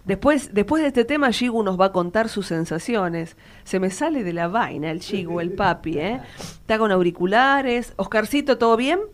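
A woman's voice: mid-range voice, expressive, moderate pace. An Argentine woman hosting a casual, chatty radio interview in an effusive, affectionate manner. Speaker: radio show host. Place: radio studio.

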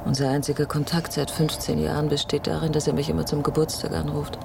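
A woman's voice: deep voice, flat delivery, quick pace, gravelly. An actress playing a polite, hesitant, slightly restless woman traveller in a radio drama. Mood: flustered, confiding. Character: reserved, restless woman travelling to an old acquaintance. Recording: vehicle noise behind.

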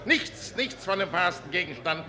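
An actor playing a proud, bitter, imperious general in a declamatory 1950s radio drama. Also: male voice, high voice, animated, moderate pace. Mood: grave resolve. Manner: declamatory, theatrical stage delivery. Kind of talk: 1950s German radio play acting. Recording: crowd noise behind, 1950s radio recording.

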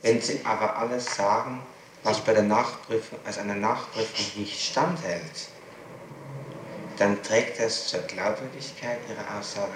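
A clearly noisy, echoing 1960s interrogation tape carries a man's fairly low voice. He is a prosecutor and talks formally, with halting phrasing. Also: steady tone. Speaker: public prosecutor.

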